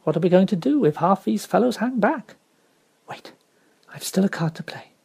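A reader voicing a worried young prince who speaks in a hushed, urgent way. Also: medium pitch, animated, normal speed, creaky, slightly rough, voice pushed up.

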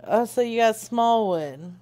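A woman's voice: fairly high pitch, expressive, measured pace, dark tone, no echo. A woman hosting a prank call show, improvising casually in a crude, teasing, rambling way.

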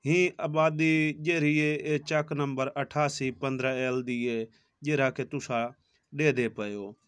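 A man's voice: medium pitch, steady tone, measured pace, clean tone, no room sound. A man in his thirties from Punjab, Pakistan, narrating a village vlog to his viewers on a phone held at arm's length. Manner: talks to viewers, repeats "viewers" often. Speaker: village vlogger. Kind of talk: casual travel vlog narration. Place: outdoors on village roads, then a shoe shop.

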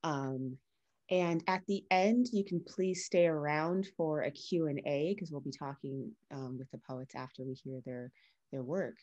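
A woman's voice: low voice, a little slow, darkish tone, highs cut off. A woman, around her thirties, informally hosting an event as MC over a video call. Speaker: MC, creative writing teacher. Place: small room at home, indoors.

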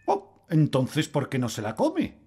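A man's voice: on the high side, a little slow, noisy background, exaggerated voice. A man voicing a blustering, self-assured middle-aged fairy king in a children's cartoon. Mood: hesitant. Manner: pompous, expressive. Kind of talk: animated children's cartoon dub.

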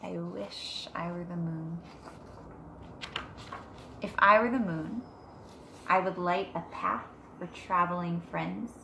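A woman in her thirties, a teacher reading a picture book aloud gently and unhurriedly, drawing out words, at home. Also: lowish voice, steady tone, slow pace, vocal fry, long pauses. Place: living room at home, on a couch. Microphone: device camera a short distance away. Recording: noticeable room background noise.

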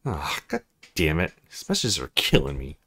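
A man voicing a brooding young male visual novel protagonist in a low, musing way. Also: big pitch swings, normal speed, bright, forceful tone, full character voice. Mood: frustrated.

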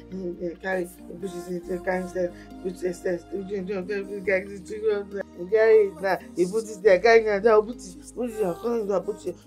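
A woman's voice: medium pitch, mellow, natural voice. An actress with a Nigerian accent playing a mentally disturbed, childlike young woman grieving in a film drama, rambling and repeating herself. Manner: repetitive, rambling, childlike speech.

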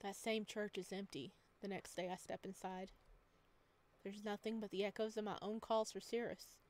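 A woman reading a visual novel aloud at home, with quick joking asides between lines of narration. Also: medium pitch, flat delivery, darkish tone. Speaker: game streamer.

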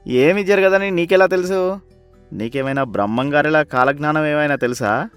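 A man's voice: fairly high pitch, moderate pace, smooth, faint music behind, lightly acted. A man voicing the fearful son of a village chief in a moral story, anxious and urgent. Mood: doubting. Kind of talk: animated moral story character acting.

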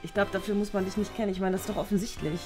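A woman's voice: medium pitch, steady tone, very fast, a little mellow, faint music behind, close to an everyday voice. A woman playing a sassy, unimpressed schoolgirl in a children's comedy skit, matter-of-fact and sardonic.

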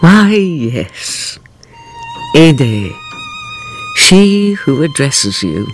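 A woman's voice: deep voice, sing-song, drawn-out words, soft background music, exaggerated voice. An actress playing a grave, stately woman storyteller narrating a radio drama in declamatory style. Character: grave, theatrical woman storyteller revealing a revenge tale.

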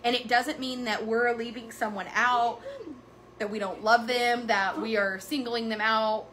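A woman's voice: fairly high pitch, steady tone, unhurried, fairly bright tone, a little hiss or hum. A woman in her thirties hosting a casual vlog chat, talking frankly and animatedly to her viewers from a wood-paneled room. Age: 30s. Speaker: horse business owner and channel host.

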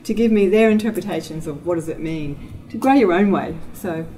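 A middle-aged woman moderating a panel discussion in a conference room, measured and hedging with fillers. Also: on the low side, mellow, slight background noise, rough recording.

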